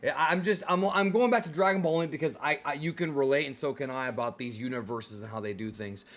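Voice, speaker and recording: A man's voice: medium pitch, fast talker. A man in his thirties hosting a casual video podcast discussion, conversational and opinionated. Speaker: host of a film discussion show.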